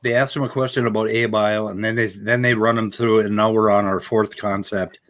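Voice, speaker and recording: A man's voice: lowish voice, steady tone, a little fast. A man hosting a live stream, commenting on a debate clip in a blunt, opinionated way. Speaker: host.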